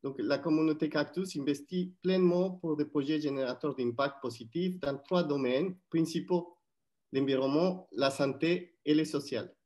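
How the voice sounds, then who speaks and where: A man from Mexico with a Mexican accent, co-hosting a webinar and presenting in a friendly, slightly halting way over a video call. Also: a little high, a little mellow. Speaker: webinar co-host.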